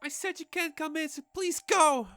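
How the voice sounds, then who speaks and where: Small, high-voiced frightened little girl. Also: moderate pace, fairly bright tone, no echo, soft background music. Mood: scared, defiant. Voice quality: small, childlike voice. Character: frightened five-year-old girl, pleading and innocent. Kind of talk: dramatised horror story reading.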